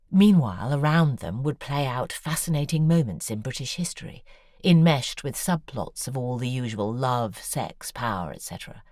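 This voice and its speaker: A woman's voice: a bass voice, a little mellow, no echo, studio quality, heavily acted. An actress playing a worldly, sardonic woman novelist, talking in an intimate, confiding and drily ironic way. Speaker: novelist writing letters. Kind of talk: audio drama monologue.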